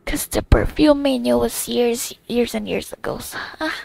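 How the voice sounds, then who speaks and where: Slightly creaky, high-voiced woman gamer thinking aloud. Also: normal speed, darkish tone, dry recording, low-quality recording. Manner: rambling, thinking aloud in fragments. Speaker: gamer commenting on playthrough. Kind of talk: casual playthrough commentary.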